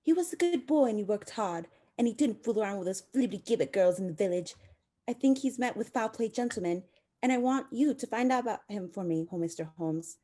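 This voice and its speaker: A woman acts out a humble, anxious country mother for a radio play. She is pleading and deferential, folksy in speech, with a mellow tone. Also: medium pitch, animated, fairly quick, natural voice. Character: humble, anxious village seamstress, worried mother.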